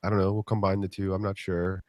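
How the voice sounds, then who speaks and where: A man's voice: deep voice, level tone, fast, darkish tone, no room sound, clean studio sound. A man hosting a podcast interview, congested from a cold, upbeat and joking with plenty of fillers. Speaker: podcast host.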